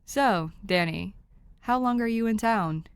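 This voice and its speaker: A woman's voice: fairly high pitch, a little slow, smooth, no echo, studio quality, voice pushed up. A woman voicing a welcoming, easygoing big brother in a fan fiction story, casual and reassuring.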